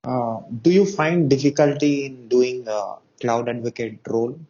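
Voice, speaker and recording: A man's voice: mid-range voice, fairly even intonation, moderate pace, warm tone, muffled recording. A man hosting an interview, asking questions hesitantly with many fillers. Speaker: interviewer, host.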